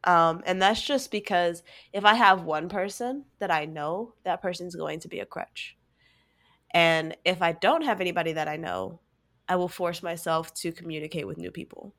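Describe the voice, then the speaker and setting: Stuffy woman with a cold, kinda low voice, rambly chatty podcast talk, says like a lot. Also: normal speed, fairly bright tone. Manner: chatty, storytelling, leans on "like" a lot. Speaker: podcast co-host. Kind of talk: casual chat.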